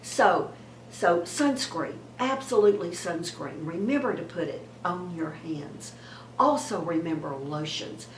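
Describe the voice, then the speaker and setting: Woman in her 70s, hoarse, slow unhurried talk, lowish voice, echoey room with faint hum. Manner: warm, chatty, repeats words and trails off. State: hoarse from a cold. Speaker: beauty vlogger. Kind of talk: chatty beauty advice to viewers.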